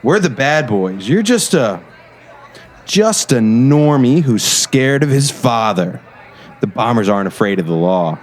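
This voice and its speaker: A man's voice: medium pitch, sing-song, unhurried. Man acting a cocky, swaggering teenage gang leader. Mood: taunting, bossy. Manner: boastful, refers to himself in third person. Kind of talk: scripted audio drama acting.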